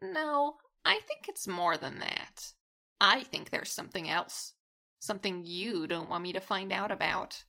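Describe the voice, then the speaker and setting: Woman acting a bright, creaky-voiced cocky young gamer. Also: medium pitch, lively intonation, unhurried, exaggerated voice. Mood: taunting. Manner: taunting, casual. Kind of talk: audio drama acting.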